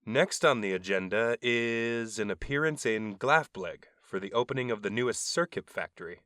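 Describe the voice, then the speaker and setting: A man voicing an alien right-hand man who speaks formally, earnestly and with a deadpan, literal manner. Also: on the low side, moderate pace, no echo, clean studio sound.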